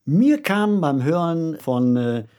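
A man who is an author, talking reflectively in a radio discussion and growing animated when he makes a point. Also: fairly high pitch, takes it slowly.

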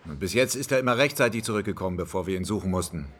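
A man's voice: sits low, animated, racing, a bit bright, breathy edge, heavily acted. An actor playing a patient, laconic policeman questioning someone politely in a naturalistic radio drama. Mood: calm, probing. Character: patient policeman probing a disappearance.

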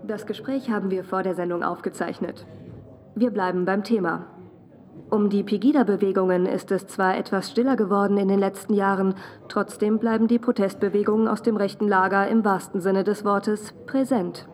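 An actress playing a composed television news presenter, reading formally in a radio drama. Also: female voice, mid-range voice, fairly even intonation, moderate pace, darkish tone, lightly acted. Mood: neutral, formal.

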